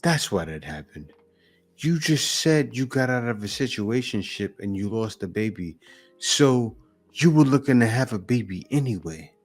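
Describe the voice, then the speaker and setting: A man's voice: mid-range voice, big pitch swings, normal speed, soft background music. A man hosting a reaction video, giving blunt, mocking, teasing commentary in a casual way.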